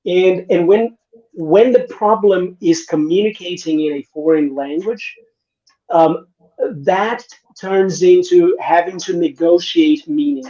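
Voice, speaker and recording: Man, middle-aged, raised mellow voice, lo-fi call sound, reflective panel talk. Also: high-pitched, slow. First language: Portuguese. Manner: reflective, many restarts and "right?" tags. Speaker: panel guest, innovation consultant. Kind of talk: conversational panel discussion.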